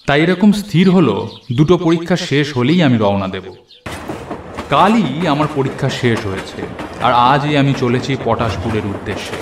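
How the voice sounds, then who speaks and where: A man narrating a first-person cartoon story in an expressive, dramatised storytelling way. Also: medium pitch. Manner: expressive storytelling with acted dialogue. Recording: echoey, with noisy train and storm ambience.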